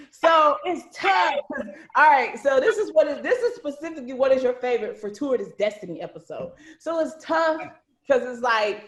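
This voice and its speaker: Female host, fairly high voice, chatty and informal, roomy video-call sound. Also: fairly bright tone. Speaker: host of cast meetup, writer-director. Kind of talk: casual hosting chat. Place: indoor room on a video call.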